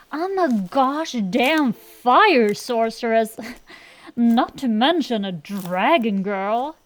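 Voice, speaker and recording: Casting: female performer as a young-sounding fantasy dragon girl, high pitch, unhurried delivery, cocky tomboy scamp, defensive banter, ASMR roleplay. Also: full character voice. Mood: defensive, boastful. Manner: blustering, bantering, hesitant excuses full of drawn-out pauses. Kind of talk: ASMR roleplay, one-sided comedic dialogue.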